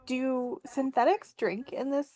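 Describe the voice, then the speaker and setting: A woman's voice: high-pitched, steady tone, a little slow, dark tone. A woman playing a tabletop game, talking casually with the table.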